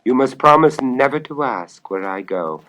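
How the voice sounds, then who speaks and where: A man voicing a gentle, mysterious fairy woman in a storybook tale, solemn and warning in manner. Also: medium pitch, expressive, moderate pace, voice pushed up, natural voice, low-quality recording. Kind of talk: storybook audio dramatization.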